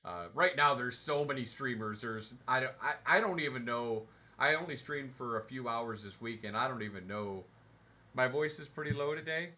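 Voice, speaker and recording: A man with a bright, forceful voice chats casually on a home game stream, sitting back in a recliner. He reads viewer comments aloud and answers them in a loose, rambling way, often restarting sentences and filling gaps with "uh" and "um." He sometimes drifts away from his desk mic. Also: mid-range voice, normal speed. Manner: relaxed, rambling, friendly, many fillers and restarts. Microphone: desk mic, sometimes too far from it.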